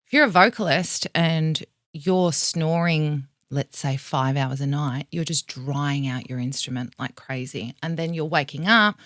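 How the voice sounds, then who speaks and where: A woman's voice: low voice, unhurried, hardly any pauses, fairly bright tone, no room sound, clean studio sound. A woman vocal coach with an Australian accent, explaining vocal health in a frank, teacherly way and swearing casually.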